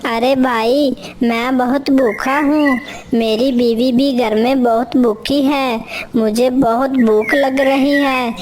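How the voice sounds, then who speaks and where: A woman voicing a lazy, hungry male crow in a children's cartoon, wheedling in a slow, pleading way. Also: sits high, steady tone, a little mellow, voice pushed up. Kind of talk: Hindi children's cartoon story dialogue.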